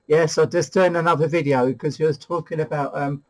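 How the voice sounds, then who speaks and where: A British man with a slightly high voice hosts a casual two-way radio chat. He is talkative and informal, often doubling back and repeating phrases as he goes. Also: steady tone, fairly quick, darkish tone. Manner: chatty, hesitant, repeats phrases. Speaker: host of a CB radio review video.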